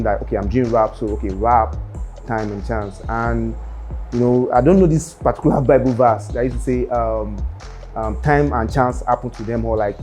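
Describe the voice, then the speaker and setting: A young Nigerian man talking about his rap career in an interview, unhurried and full of fillers and restarts. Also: mid-range voice, darkish tone. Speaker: rapper interviewee. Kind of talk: casual interview monologue.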